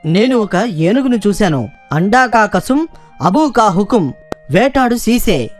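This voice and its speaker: A hungry, scheming fox servant in a children's cartoon. The voice is fawning and cocky, with faint music behind it. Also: male voice, sits high, very animated, unhurried. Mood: eager, boastful.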